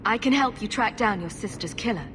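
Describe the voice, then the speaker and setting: A woman acting a calm, tactful heroine in a video game, composed and direct. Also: medium pitch, a little fast, noisy background, close to an everyday voice. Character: calm, diplomatic heroine, adult and reasonable.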